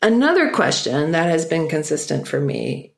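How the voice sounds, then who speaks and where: A speaker giving a reflective personal talk, musing aloud and often slowing down to stress key ideas. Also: medium pitch, very animated, gravelly. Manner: musing, with frequent "you know" fillers.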